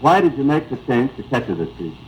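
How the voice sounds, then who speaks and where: American radio interviewer on noisy 1930s broadcast. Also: male voice, mid-range voice, sing-song, fast. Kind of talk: 1930s radio sports interview, scripted banter. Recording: 1935 AM radio broadcast, noisy, slightly distorted.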